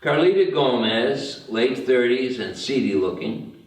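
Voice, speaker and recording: Guy reading out script directions slowly, mid pitch, big echo, far off mic. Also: expressive. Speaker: narrator of screenplay table read. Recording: very echoey room, distant mic.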